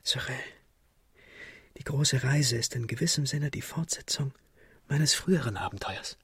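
An actor playing a haunted, guilt-laden young idealist in a radio drama, confessional and intense, with a breathy, husky, creaky voice. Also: male voice, mid-range voice, animated, unhurried, a bit bright, dry recording, studio quality, full character voice. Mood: brooding, yearning.

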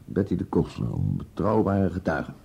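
An actor with a Netherlands Dutch accent playing a stubborn, firm and curt police commissioner in a naturalistic radio play. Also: male voice, sits low, sing-song, normal speed, vocal fry, warm tone, husky, exaggerated voice. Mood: insistent, defensive. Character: stubborn, authoritative male police commissioner, short-tempered. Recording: muffled radio drama recording.

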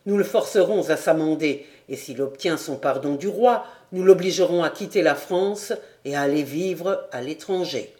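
A woman's voice: deep voice, normal speed, few pauses, dark tone, natural voice. A woman voicing an earnest, resolute young nobleman who declares his feelings in a storybook reading.

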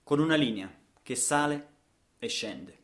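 A man's voice: medium pitch, animated, unhurried. A man performing a radio theatre monologue as a storyteller, with dramatic, rhythmic delivery full of repetitions and rhetorical questions. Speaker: actor and storyteller.

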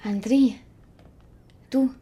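A woman acting in a German radio drama as a weary, guilt-ridden carer, speaking with restraint and often hushed. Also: a little high, steady tone, drawn-out words, a little hiss or hum. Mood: guarded. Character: weary, guilt-ridden woman caring for her dying aunt. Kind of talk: German radio drama acting, dialogue and intimate inner monologue.

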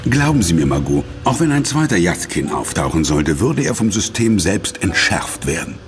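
Smug executive, animated delivery. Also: male voice, low-pitched, moderate pace, soft background music. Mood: smug, ingratiating. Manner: smug, formal, hesitant before superiors. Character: imperious corporate executive, curt to staff, obsequious to superiors. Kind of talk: German audio drama acting.

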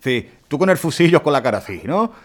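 An actor playing a gruff, swearing, reluctant man. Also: fairly high pitch, sing-song, fairly quick, slight background noise.

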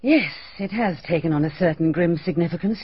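An actress playing a proper, defensive middle-aged hostess, crisp and emphatic, with a husky voice, in a radio drama. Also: female voice, lowish voice, brisk. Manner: crisp, emphatic, slightly indignant. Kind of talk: BBC radio drama acting. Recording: dull archival radio recording.

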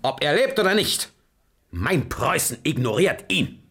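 A man's voice: a little high, big pitch swings, measured pace, gravelly, fairly bright tone, slightly rough, full character voice. An actor playing a tyrannical, choleric middle-aged Prussian king in a German radio play, with an imperious, blustering manner. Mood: scornful, threatening.

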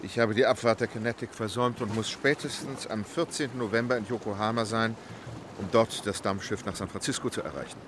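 An actor playing a composed, courteous English gentleman traveller in a German audio drama, speaking formally and precisely. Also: on the low side, fairly even intonation, a little fast, background noise, natural voice. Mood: businesslike, determined. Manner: formal, polite, unflappable, precise.

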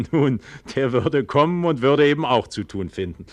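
A man's voice: mid-range voice, lively intonation, normal speed, no room sound, lightly acted. An actor playing a proud, trusting, impassioned nobleman in a classical German radio drama, with emphatic, rhetorical delivery. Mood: unconcerned, assured.

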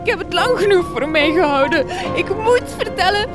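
A woman's voice: very high voice, expressive, normal speed. A woman playing a timid young daughter anxious to confess, in a gothic horror comedy radio drama. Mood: anxious, urgent. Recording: loud music under the voice.

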